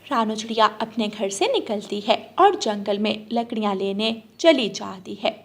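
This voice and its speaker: A woman's voice: mid-range voice, lively intonation, moderate pace. A woman narrating a scripted children's story as the storyteller.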